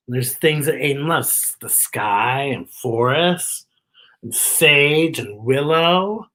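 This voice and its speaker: A children's story-time host reading a picture book aloud with expressive, exaggerated delivery and chatty asides to young listeners. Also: medium pitch, low-quality recording.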